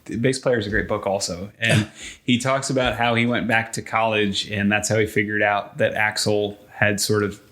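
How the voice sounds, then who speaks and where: A man's voice: on the low side, little pitch movement, moderate pace, a bit bright. A man in his thirties chatting casually as a podcast co-host. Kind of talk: casual chat.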